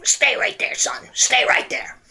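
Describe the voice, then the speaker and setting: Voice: measured pace, pushed and bright, in a whisper. A performer voicing a showy, coaxing magic shop owner, with a showman's repetitive patter in a comic stage act. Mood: conspiratorial.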